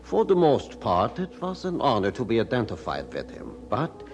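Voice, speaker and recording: An actor playing an older reformer narrating his own life story in a radio drama, measured and reflective. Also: mid-range voice, huge pitch swings, a little mellow. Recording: music under narration.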